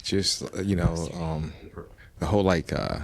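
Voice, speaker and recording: A man in his fifties speaking casually, in a halting, tentative way, as a dating show contestant. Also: sits low, steady tone, gravelly, a little mellow, slight background noise. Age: 50s. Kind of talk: hesitant casual talk.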